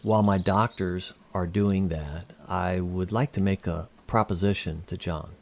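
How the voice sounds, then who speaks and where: Man playing a very deep-voiced, formal alien leader. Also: steady tone, darkish tone. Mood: formal, assured. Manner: formal, measured, polite. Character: courteous alien leader, large, diplomatic and smoothly persuasive male. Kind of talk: audio drama acting. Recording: noticeable background noise.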